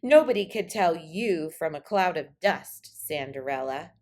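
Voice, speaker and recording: A woman's voice: sits low, expressive, unhurried, darkish tone, pitched below the performer's own voice. Woman playing a sneering, taunting young stepsister. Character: mocking, sneering young stepsisters of a Western Cinderella.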